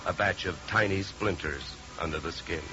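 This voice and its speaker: A man's voice: low voice, normal speed, natural voice. An American actor playing a tough, wry male investigator in a 1950s radio detective drama, with a clipped hard-boiled delivery. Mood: wry, matter-of-fact. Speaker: lead actor. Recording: muffled 1950s radio recording, music.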